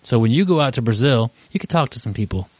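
A man's voice: medium pitch, expressive, fast talker, darkish tone, no echo. A man hosting a podcast in a casual, rambling way, with many fillers and restarts, laughing easily. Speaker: podcast host.

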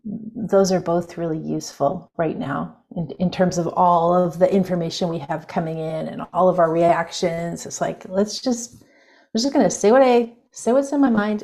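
Female spiritual-teacher guest, lower register, meandering and reflective delivery. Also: steady tone, moderate pace. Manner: musing, loose, with many fillers and soft laughs. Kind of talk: reflective interview conversation.

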